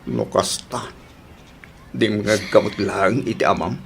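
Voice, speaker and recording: An actor playing a young boyfriend being dumped in a radio drama, pleading and emotional, with a Filipino accent. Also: male voice, lowish voice, a little slow, creaky, slight background noise. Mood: hurt, bitter. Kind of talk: Ilocano radio drama acting.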